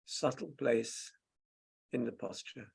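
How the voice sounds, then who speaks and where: Gravelly man guiding a slow meditation. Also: medium pitch. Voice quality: gravelly, husky. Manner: gentle, unhurried, halting short phrases. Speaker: meditation teacher. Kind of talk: slow guided meditation with long pauses.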